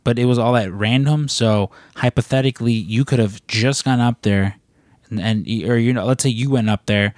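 A man's voice: medium pitch, normal speed, darkish tone, no room sound. Man, podcast host, casual joking, profane, rambling, dry close sound. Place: aboard a cruise ship.

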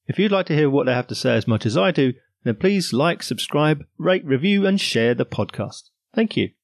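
A man's voice: a little high, sing-song, normal speed, dry recording, studio quality. A man from England hosting a comedy podcast, with a playful, punning delivery, reading a scripted intro and then interviewing a guest. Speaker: podcast host.

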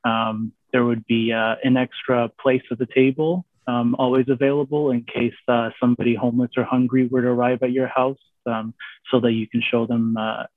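A man from Canada speaking as a guest in a casual interview, hesitant and full of pauses and fillers. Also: mid-range voice, level tone, no room sound. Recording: dry, tinny phone-like line.

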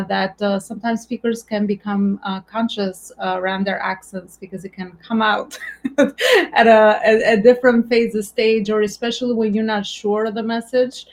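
Gravelly-voiced Albanian woman hosting. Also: mid-range voice, little pitch movement. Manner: warm, halting, many fillers. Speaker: podcast host. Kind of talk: interview questions.

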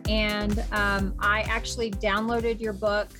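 A woman's voice: medium pitch, level tone, takes it slowly, music in the background. A middle-aged woman hosting a podcast interview, enthusiastic and talkative, with frequent fillers and restarts. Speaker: podcast host. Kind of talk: casual interview.

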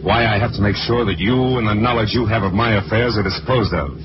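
Guy playing smug calculating killer lawyer, deep american voice, old 40s radio show, muffled w lots of noise. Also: close to an everyday voice. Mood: cold self-justifying. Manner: formal, smug, deliberate speech. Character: coldly ambitious, calculating male lawyer turned murderer. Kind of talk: 1940s radio acting. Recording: muffled, very noisy 1940s radio recording.

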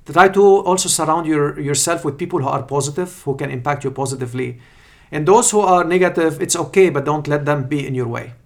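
Man, Lebanese accent, somewhat higher pitch, emphatic, repetitive phrasing, earnest interview storytelling and advice. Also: fairly quick. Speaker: podcast guest, surgeon and professor. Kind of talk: interview answers with personal anecdotes and advice.

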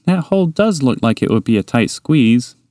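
A man voicing a big, gentle, slow-witted moose in a bedtime story, hesitant and bashful. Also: medium pitch, sing-song, dry recording, studio quality, voice pushed up, close to an everyday voice. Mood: unsure. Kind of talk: storybook character voice.

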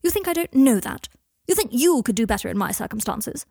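A woman voicing a proud but fragile wizard father, wry and refined, tender with his son and quick to defend himself. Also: a little high, gravelly, a little mellow, husky, no echo, studio quality. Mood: furious, terrified.

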